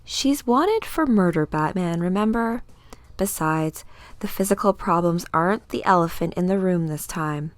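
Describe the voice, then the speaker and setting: A woman voicing a brisk, worried female intelligence chief from a comic book, wry and businesslike. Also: fairly low pitch, expressive, no echo, natural voice. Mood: wry, concerned.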